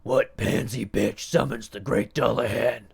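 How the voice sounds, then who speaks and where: A man voicing a huge, domineering headless monster in bone armor, with a gruff, bellowing delivery. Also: medium pitch, fairly even intonation, a little slow, voice pushed up, full character voice. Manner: bellowing and bossy.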